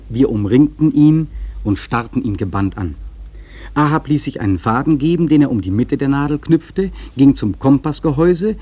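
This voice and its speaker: Reflective sailor-narrator: warm, dark timbre with a husky edge, literary scripted narration for radio drama. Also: male voice, medium pitch, no echo. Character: reflective seaman narrating a whaling voyage.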